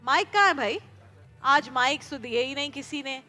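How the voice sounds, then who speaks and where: A woman's voice: high voice, moderate pace, sharp tone, big-room sound, soft background music. A woman with a Pakistani accent hosting a television show, chatting playfully and unscripted with children, teasing and encouraging them. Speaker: TV show host. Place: TV studio.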